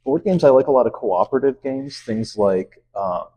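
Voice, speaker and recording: A man who teaches math, answering interview questions in a relaxed, friendly way with many fillers. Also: mid-range voice, moderate pace, a little hiss or hum, rough recording. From Lebanon.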